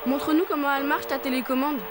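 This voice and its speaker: A woman's voice: high-pitched, steady tone, quick pace, music in the background, close to an everyday voice. A woman voicing a blunt, boisterous young child in a cartoon, with a brash, childlike delivery. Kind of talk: animated cartoon voice acting.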